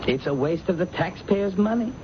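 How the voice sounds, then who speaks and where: An actor playing an evasive, defensive executive under suspicion in a radio mystery drama. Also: male voice, sits high, expressive, normal speed. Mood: wary, sardonic. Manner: evasive, protesting. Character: evasive, defensive male murder suspect, secretive executive. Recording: muffled, noisy vintage radio broadcast recording.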